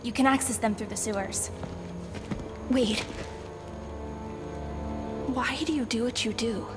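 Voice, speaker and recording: An actress playing a young, tech-savvy woman in a video game, calm and matter-of-fact. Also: a little high, slow pace, loud music behind the voice. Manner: matter-of-fact, explaining technology. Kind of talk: video game cutscene acting. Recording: score behind voice.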